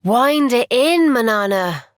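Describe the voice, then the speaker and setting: A young fighter girl in a game cutscene, high pitched, unhurried, sincere and firm, really dry sound. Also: lively intonation, no echo. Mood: exasperated. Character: earnest, gentle but firm young woman fighter. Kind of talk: video game cutscene voice acting.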